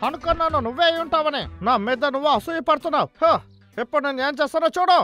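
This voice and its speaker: A high, theatrical cartoon hunter, full of bragging and schemes. The delivery is hot-tempered and exclamatory, like a self-styled hero, with music playing underneath. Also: quick pace, bright, forceful tone. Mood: defiant. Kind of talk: animated cartoon dub acting.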